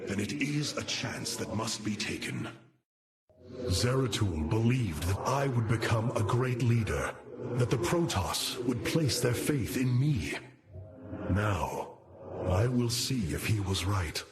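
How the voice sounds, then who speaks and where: Solemn, slow-speaking alien warrior leader. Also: male voice, fairly low pitch, very animated. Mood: reverent. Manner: measured, stately, formal oratory. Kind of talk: dramatic video game voice acting. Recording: loud music behind the voice.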